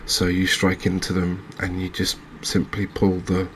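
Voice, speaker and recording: Deep, slow-talking ASMR man. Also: monotone, fairly bright tone, a little hiss or hum. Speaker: host of a video game channel. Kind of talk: soft-spoken ASMR gameplay commentary.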